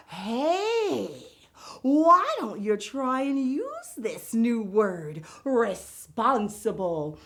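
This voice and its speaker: A woman playing an exuberant, giggly, theatrical lady vocabulary teacher on a children's show, with whoops and drawn-out emphasis. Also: a little high, big pitch swings, heavily acted. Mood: playfully instructive. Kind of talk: children's show word segment.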